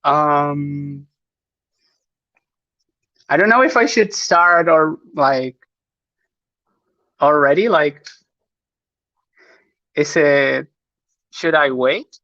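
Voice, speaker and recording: A native Spanish speaker from Chile, with a clear Chilean accent, talks slowly through a live online panel. The delivery is hesitant, full of fillers, restarts and small nervous laughs. The sound is dry and dull, like lo-fi stream audio. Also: medium pitch, bright, forceful tone, dry recording.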